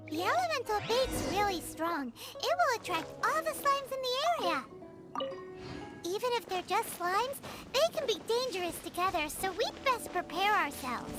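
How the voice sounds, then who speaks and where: Game casting: tiny bubbly fairy girl, extremely high and squeaky, swooping melody, unhurried, music behind. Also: very animated. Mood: eager, scheming. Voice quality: squeaky, childlike. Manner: speaks of herself in third person, bubbly. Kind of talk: animated video game voice acting. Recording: loud background music.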